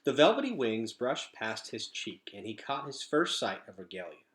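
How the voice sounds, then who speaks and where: A man reading a children's novel aloud as a storyteller, with expressive, emphatic phrasing. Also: medium pitch, moderate pace, slight background noise. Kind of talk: animated children's book reading.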